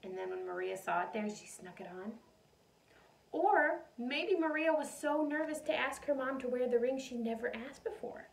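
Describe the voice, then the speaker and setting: A woman reading a storybook aloud to children in an expressive, animated way. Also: fairly high pitch, normal speed, gravelly. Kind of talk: expressive storybook read-aloud with questions to listeners. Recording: roomy echo, distant mic.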